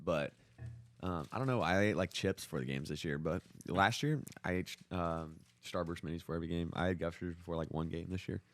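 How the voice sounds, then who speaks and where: Deep-voiced young man chatting. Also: a little fast. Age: young adult. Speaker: podcast co-host. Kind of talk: casual chat.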